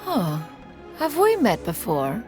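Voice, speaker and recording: A woman's voice: a little high, wildly swooping pitch, takes it slowly, heavily acted. A woman playing a proud, composed immortal adept in a video game, formal and haughty in manner. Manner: formal, haughty, refers to self as one. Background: soft background music.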